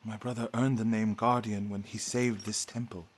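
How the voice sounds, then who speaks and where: A man's voice: on the low side, little pitch movement, moderate pace, lightly acted. Man acting an earnest, grieving warrior monk. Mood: solemn. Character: earnest, devout, grieving young warrior monk of feudal Japan. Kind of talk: dramatic video game acting.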